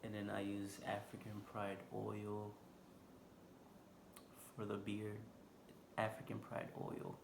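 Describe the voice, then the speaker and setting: A man with a Haitian accent, vlogging unscripted from a stool indoors, talking slowly and haltingly with lots of fillers. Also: lowish voice, completely flat delivery, creaky. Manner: slow, halting, many fillers. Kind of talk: unscripted casual vlog talk. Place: indoor room, seated on a stool. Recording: echoey room with background noise.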